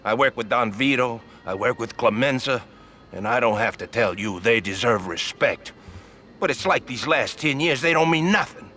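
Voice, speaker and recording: An actor playing an embittered old-school street mobster in a video game, grumbling in colloquial, streetwise talk. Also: male voice, mid-range voice, lively intonation, moderate pace, bright, forceful tone, slight background noise. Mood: bitter. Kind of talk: video game crime drama acting.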